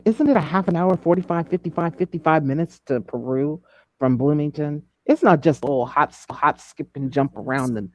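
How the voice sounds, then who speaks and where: This is a casual, emphatic speaker in a group discussion, with a medium-pitched voice. Phrases often get repeated to drive a point home. The sound is dry, with no room echo. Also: darkish tone, highs cut off. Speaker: panelist in a true crime discussion. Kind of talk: casual chat, speculating in a group call.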